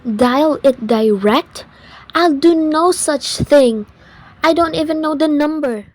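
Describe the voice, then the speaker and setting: A woman's voice: high voice, slow pace, rough recording. A woman playing a nervous, demanding bedridden invalid in a melodramatic radio thriller, fretful and haughty in her indignation. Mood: outraged.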